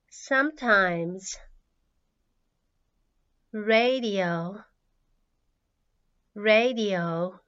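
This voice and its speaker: A woman who is a native Japanese speaker, teaching English by reading out words and sentences with deliberate, measured enunciation for learners. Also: medium pitch, pushed and bright, smooth. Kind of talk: language drill, reading words and sentences twice. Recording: slightly dull, lo-fi audio.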